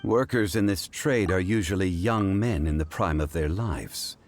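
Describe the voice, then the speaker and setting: Composed gentleman sleuth for a game: low-pitched, formal, analytical, measured. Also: soft background music. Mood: calm, analytical. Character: composed, scholarly gentleman investigator with measured temper. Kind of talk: video game character dialogue.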